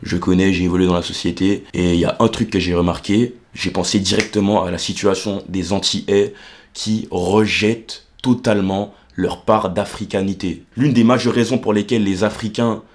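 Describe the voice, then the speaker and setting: A man's voice: deep voice, fairly even intonation, moderate pace. A young man from Martinique, explaining ideas in a casual, colloquial monologue and often checking that the listener follows. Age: young adult. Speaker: vlogger explaining psychology.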